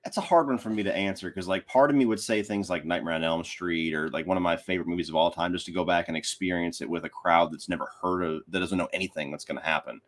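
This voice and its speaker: A man's voice: deep voice, quick pace, few pauses, a bit bright. A man speaking as a podcast guest, giving his opinions on films in a casual, conversational way. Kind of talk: casual film discussion.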